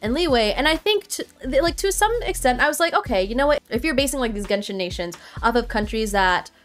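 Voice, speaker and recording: A young woman from Nepal, a student, talking earnestly to her viewers in a casual opinion vlog, filling her sentences with "like". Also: a little fast. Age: young adult. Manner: earnest, heavy use of "like", animated pitch jumps. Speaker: vlogger. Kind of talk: casual opinion talk to camera. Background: faint background music.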